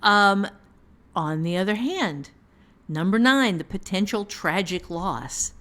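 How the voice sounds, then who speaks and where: A woman's voice: medium pitch, expressive, slow pace, a bit bright. A woman novelist co-hosting a casual discussion of fiction writing, speaking emphatically and often with a chuckle in her talk. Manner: chuckles often while talking, emphatic repetition.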